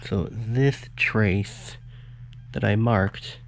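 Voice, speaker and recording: A man's voice: lowish voice, drawn-out words. Casual man presenting a how-to. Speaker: electronics tutorial presenter. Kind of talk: casual hands-on how-to narration.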